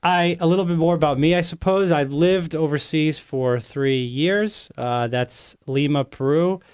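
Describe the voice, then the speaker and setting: A man's voice: on the high side, unhurried, darkish tone, smooth. A man in his thirties hosting a casual podcast, talking in a friendly, rambling way and often correcting himself. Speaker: host.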